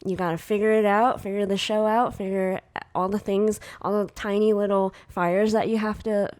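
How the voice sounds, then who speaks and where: A woman in her thirties with a quiet, soft voice, co-hosting a casual podcast chat and laughing often as she rambles. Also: mid-range voice, little pitch movement, normal speed. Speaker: podcast co-host, artist.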